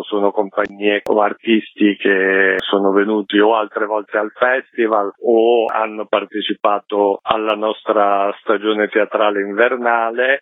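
A man answering radio interview questions as a festival director, explaining things in a measured way. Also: lowish voice, no echo, phone-quality sound.

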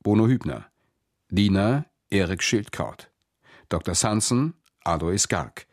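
A male radio announcer reads out cast credits formally. His voice is deep and the sound is very dry, with no room around it. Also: animated, slow, no echo.

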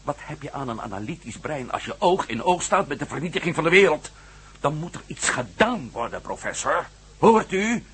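An actor playing an impatient, hot-tempered man in a Dutch radio play, snappy and exclamatory, with a rough, husky voice. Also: on the high side, very animated, normal speed, a bit bright, heavily acted. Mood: irritated. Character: impatient, hot-tempered male hero racing to save the world.

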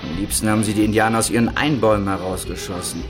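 An actor playing a cynical informant in a radio drama, speaking tersely and matter-of-factly with a sardonic edge. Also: male voice, on the low side, very fast, over music, close to an everyday voice. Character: cynical male informant on jungle crimes.

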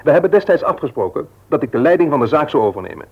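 A man's voice: a little high, sing-song, racing, breathy edge, exaggerated voice. A man acting in a radio play as a firm, frank nephew, formal and argumentative. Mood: firm, indignant. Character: firm, frank married nephew running his uncle's business. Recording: dull, lo-fi old radio recording.

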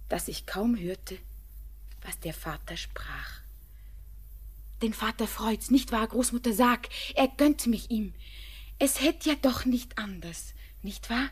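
A young woman playing an anxious, devoted bride in a German radio drama, breathy and often near a whisper, with tender, fervent, poetic delivery. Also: medium pitch. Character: anxious, devoted young bride keeping watch over her beloved.

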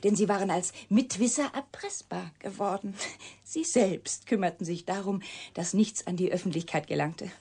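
An actress playing an embittered, secretive woman scientist in a German radio drama, deliberate and intense in delivery. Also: medium pitch, mellow, breathy edge. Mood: cool, scornful. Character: embittered woman scientist, secretive product of her father's experiment.

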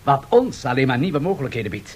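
An actor playing a confident, shrewd match tycoon in a radio drama, speaking politely and in a businesslike way. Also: male voice, mid-range voice, very animated, fast talker, breathy edge. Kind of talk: 1970s radio drama acting.

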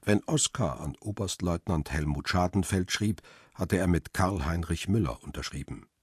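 A man playing a bitter, betrayed paparazzo who narrates his own story in a measured, reflective way for a radio crime drama. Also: low voice, husky, no room sound, studio quality. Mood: brooding, shaken. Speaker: first-person narrator.

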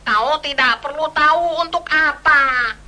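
A woman doing a cheeky squirrel, high and bright. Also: unhurried, full character voice. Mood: teasing. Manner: teasing, giggly, slips on words.

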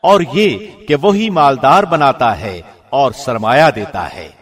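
A man reading a scripture translation from a script, in a formal, measured radio presenter's delivery. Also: medium pitch, very animated.

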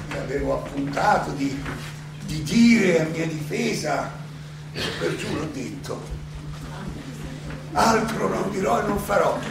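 Very echoey distant noisy stage recording, male actor as proud old philosopher on trial, ironic, slow rhetorical oratory, dramatic pauses. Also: fairly high pitch, vocal fry. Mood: resolute. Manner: halting, rhetorical questioning with dramatic pauses and emphatic swells. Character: proud, ironic older Greek philosopher defending himself at trial. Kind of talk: theatrical stage monologue, courtroom oration.

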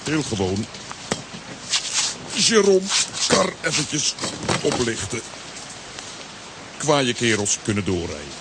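A man acting a gruff, simple-minded strongman in a children's radio play, speaking in clipped phrases without articles. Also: medium pitch, sing-song, slow pace, long pauses, heavy background noise. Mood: placid, matter-of-fact. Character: slow-witted gruff strongman, simple and placid.

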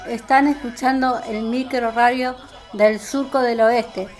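An Argentine woman presenting a community radio show as host in a scripted introduction. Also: fairly high pitch.

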